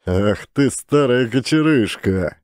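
A man voicing a heavy, sullen middle-aged police chief who speaks gruffly, in an audiobook. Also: mid-range voice, expressive, slow pace, a little mellow, no echo, studio quality, voice pushed up. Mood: grumbling. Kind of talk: audiobook character voice.

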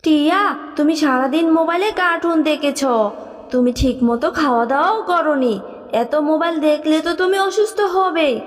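Kindly high-voiced magic tree acted by a woman. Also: fairly quick, big-room sound, natural voice. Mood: gently admonishing. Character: kindly, generous talking magic tree giving gentle advice.

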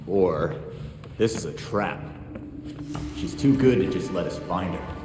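Deep-voiced, gruff sardonic vigilante. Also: male voice, animated, takes it slowly. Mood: wry, suspicious. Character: gruff sardonic streetwise male vigilante, blunt and suspicious. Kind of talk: video game cutscene acting. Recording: echoey hall with music behind.

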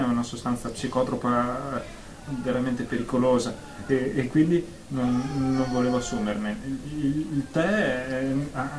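A man's voice: mid-range voice, darkish tone, lightly acted. A man playing a timid, fretful therapy patient in a radio drama, stammering and repeating words hesitantly. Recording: echoey room, faint noise.

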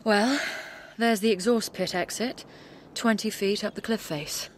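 An actress with a British accent playing a sharp-tongued, capable undercover policewoman in a radio drama, brisk and self-assured. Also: mid-range voice, slight background noise, lightly acted. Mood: earnest. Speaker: actor.

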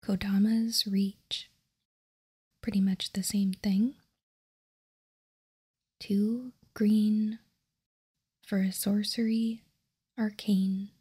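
A woman whispering softly in an unhurried, measured ASMR style as she reads out and comments. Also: medium pitch, level tone. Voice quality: breathy, unvoiced whisper. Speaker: host of a card-game ASMR show.

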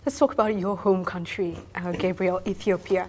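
Woman host asking quick questions. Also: fairly low pitch, fairly even intonation, a little mellow, slight background noise. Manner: quick, conversational questioning with fillers. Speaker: talk show host. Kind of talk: interview questions. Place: indoor studio set, seated in wicker chair. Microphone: lapel mic.

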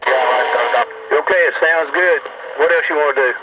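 A man's voice: a little high, measured pace, heavy background noise. Man answering over a muffled CB radio. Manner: brief radio call replies. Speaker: radio operator on the other end. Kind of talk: CB radio exchange. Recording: distant muffled radio transmission.

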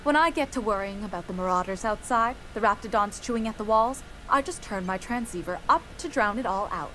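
A woman's voice: medium pitch, fairly quick, pushed and bright, a little hiss or hum, natural voice, rough recording. A woman voicing a chatty, eager young sports fan from a frontier town in a video game, talkative and gushing. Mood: wry, chatty. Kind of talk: video game character acting.

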